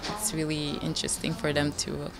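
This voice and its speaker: Young woman from belgium, deep voice, lots of likes and ums, music playing behind. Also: brisk, gravelly. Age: young adult. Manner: hesitant, many fillers and "like". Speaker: pageant contestant. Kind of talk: casual interview answers. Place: indoor lounge on a sofa. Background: background music.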